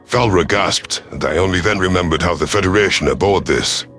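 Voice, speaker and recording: Man as a deep, stern alien commander. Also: fairly quick, fairly bright tone, faint music behind. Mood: measured. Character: gruff male reptilian alien rebel commander, sardonic, proud. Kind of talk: first-person scripted audio story narration.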